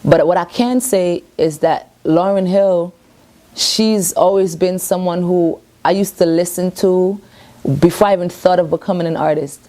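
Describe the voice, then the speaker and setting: A woman's voice: fairly low pitch, fairly even intonation, normal speed, mellow. A woman, a reggae artist speaking at her home in a casual interview. She talks earnestly and self-assuredly in loose, rambling sentences. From Brooklyn, New York.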